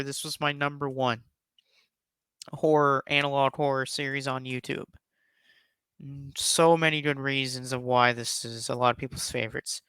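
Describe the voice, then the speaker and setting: A man's voice: mid-range voice, bright, forceful tone, clear, resonant tone, dry recording. A man hosting a casual, enthusiastic countdown commentary, speaking in an emphatic, effusive and rambling way. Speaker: YouTube host giving a top ten countdown.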